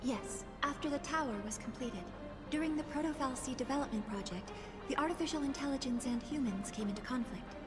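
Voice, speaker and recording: Actress as young female android, high-pitched, courteous, precise, emotionally detached, video game cutscene, clear musical backing. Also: brisk, music in the background, close to an everyday voice. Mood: matter-of-fact. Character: polite young female android duplicate, coolly formal.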